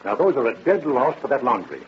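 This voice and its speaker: An actor playing a shrewd, folksy police captain with a wry, needling, informal manner in a 1930s radio drama. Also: male voice, medium pitch, wildly swooping pitch, brisk, breathy edge, exaggerated voice. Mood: coaxing. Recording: dull vintage radio broadcast.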